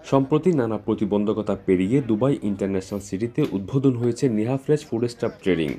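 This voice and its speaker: A man's voice: fairly low pitch, fast, crowd noise. A man reading scripted news narration as a reporter.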